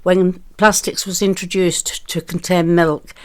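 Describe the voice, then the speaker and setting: A woman's voice: fairly low pitch, little pitch movement, measured pace, smooth. A woman in her eighties, a retired nurse, answering interview questions in a hesitant, reminiscing way. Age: 80s.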